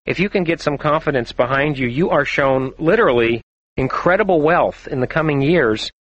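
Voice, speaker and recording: A man's voice: fairly high pitch, normal speed, fairly bright tone, no room sound, dull audio. A man hosting a call-in astrology radio show, talking confidently and chattily and laughing often.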